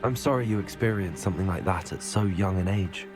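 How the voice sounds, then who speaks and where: Man acting a low-voiced young student wizard. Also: fairly quick, lightly acted. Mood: caring, steady. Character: earnest, courteous teenage male student wizard. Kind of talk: video game dialogue and spell casting. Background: music.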